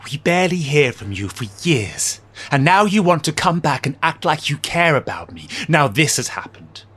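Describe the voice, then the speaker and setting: A man's voice: fairly high pitch, very animated, moderate pace, a bit bright, husky, exaggerated voice. A man playing a bitter, prickly wounded superhero in an audio drama, curt, sullen and scornful. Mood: bitter, angry.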